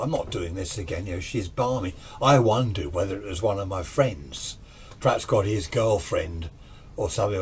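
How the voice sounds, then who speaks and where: A man's voice: fairly low pitch, slight background noise. A man tells a personal story casually and unscripted, in a rambling, conversational way, acting out remembered exchanges. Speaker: storyteller recounting personal radio anecdotes.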